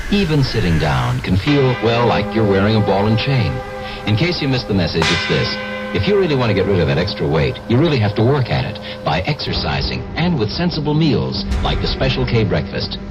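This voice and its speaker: A man reading a 1970s radio commercial in a friendly, conversational pitch. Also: low-quality recording. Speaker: commercial announcer.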